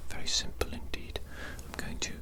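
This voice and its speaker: A woman voicing a pompous, bossy evil doctor in an ASMR roleplay, talking in a condescending, deliberate, theatrical way. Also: lightly acted. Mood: calm, instructing.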